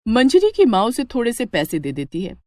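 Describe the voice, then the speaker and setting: A woman narrating a scripted moral story in an expressive storytelling manner. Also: fairly high pitch, moderate pace, no echo, clean studio sound.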